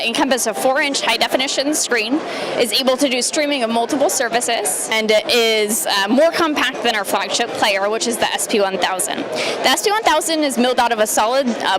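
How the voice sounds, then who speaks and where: A woman with a fairly high voice presents products as a company representative. She explains in an informative trade show demo style, with a busy crowd clearly audible around her. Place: press event show floor. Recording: busy crowd chatter behind voice.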